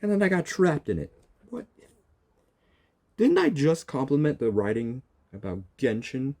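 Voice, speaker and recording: Animated, mellow male game streamer. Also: medium pitch, unhurried, gravelly. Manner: joking, exclaiming, slangy. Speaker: gaming streamer. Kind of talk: casual game reaction commentary.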